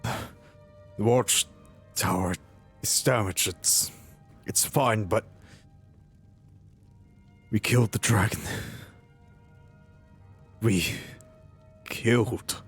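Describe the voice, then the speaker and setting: A man playing a bewildered mercenary chosen as a hero in a fantasy roleplay, speaking haltingly and repeating himself. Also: on the low side, breathy edge, faint music behind, full character voice. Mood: overwhelmed. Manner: halting, breaks off and repeats phrases. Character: bewildered male mercenary, newly chosen dragon-slaying hero. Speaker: player voicing his own game character. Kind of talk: immersive fantasy roleplay acting.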